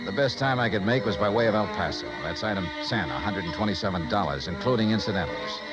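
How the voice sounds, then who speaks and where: An actor playing a dogged, wry insurance investigator in 1950s radio noir style, with clipped delivery. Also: male voice, lowish voice, lively intonation, fast talker, close to an everyday voice. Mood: dry narration. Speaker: lead actor and narrator. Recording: vintage radio drama with music.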